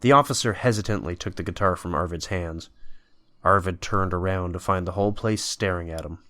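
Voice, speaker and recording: Low-voiced male story narrator. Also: brisk, bright, forceful tone.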